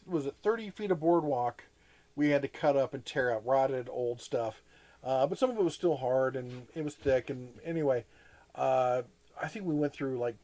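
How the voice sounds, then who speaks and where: A man's voice: mid-range voice, darkish tone. A man hosting a casual live chat, rambling with frequent restarts and plenty of enthusiasm. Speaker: host.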